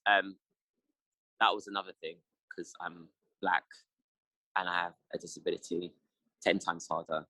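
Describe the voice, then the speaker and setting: A man's voice: deep voice, flat delivery, normal speed, long pauses, sharp tone, no echo, poor audio. A man, answering interview questions in a reflective, casual way, with many fillers. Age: 30s. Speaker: interview guest.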